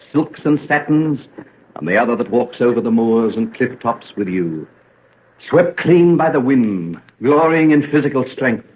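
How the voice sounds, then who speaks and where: Casting: male actor as ardent, theatrical young doctor with a dark streak, vintage radio play, lively pitch, narrow noisy sound. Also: lightly acted. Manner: theatrical, romantic, old-fashioned diction. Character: Henry, ardent young gentleman doctor with a darker side. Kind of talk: vintage radio drama acting. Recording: tinny, noisy old radio recording.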